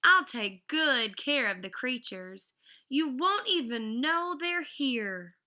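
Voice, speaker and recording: A woman voicing an eager, imaginative little girl from a children's storybook, gushing with excitement. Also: high voice, slow pace, voice pushed up, exaggerated voice. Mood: excited, reassuring. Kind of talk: children's storybook character reading.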